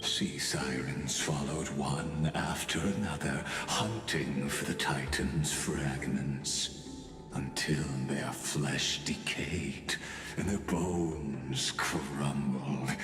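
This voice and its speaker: An ominous, theatrical storyteller intones myth in a video game. His voice is extremely deep and drawn out very slowly, grave and portentous. It rings in long reverb over music. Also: heavily acted. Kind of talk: dramatic scripted game narration.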